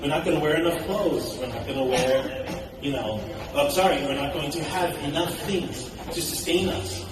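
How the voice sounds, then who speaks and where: A man's voice: on the high side, moderate pace. Man speaking in an echoey hall. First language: English. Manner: enthusiastic, conversational, poses questions to the audience. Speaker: conference speaker. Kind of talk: public speech at a conference. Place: large hall. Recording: echoey hall recorded from a distance, audible noise.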